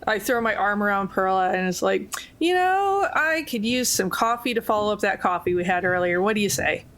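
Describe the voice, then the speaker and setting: A woman's voice: medium pitch, expressive, brisk, fairly bright tone. Wry woman playing a tabletop game. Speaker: player in tabletop roleplaying game. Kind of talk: casual improvised roleplay chat.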